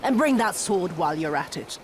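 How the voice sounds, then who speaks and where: A woman's voice: fairly low pitch, expressive, fairly quick, a little hiss or hum, lightly acted. A woman playing a cruel, sadistic and haughty imperial overlord in a video game, speaking with theatrical contempt and drawling menace. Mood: scornful, irritated. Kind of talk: dramatic video game voice acting. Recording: loud music behind the voice.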